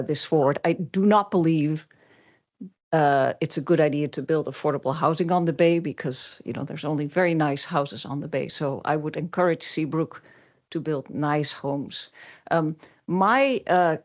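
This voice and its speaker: Dutch-accented guy at the council mic, halting, lots of uh and um. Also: on the high side. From Netherlands. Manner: hesitant, many fillers. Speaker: public commenter, local resident.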